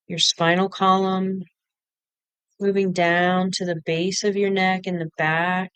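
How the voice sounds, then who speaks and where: A woman leading a guided meditation, giving gentle step-by-step instructions in short phrases with pauses between them. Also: mid-range voice, clear, resonant tone, no room sound. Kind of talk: guided body-scan meditation.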